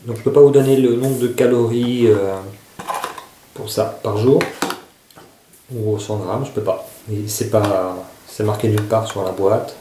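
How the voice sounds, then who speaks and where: A man's voice: lowish voice, fairly even intonation, measured pace, warm tone, a little hiss or hum. Hesitant man in an echoey room. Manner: hesitant, searching for words, many fillers. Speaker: survival gear reviewer. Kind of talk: casual product review to camera.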